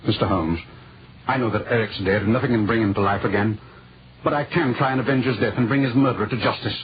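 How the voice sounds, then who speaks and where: An actor playing an earnest, agitated British lord with a formal manner, in 1940s radio style. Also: male voice, medium pitch, quick pace, lightly acted. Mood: pleading. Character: earnest, agitated young English lord seeking justice. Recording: muffled, hissy old radio recording.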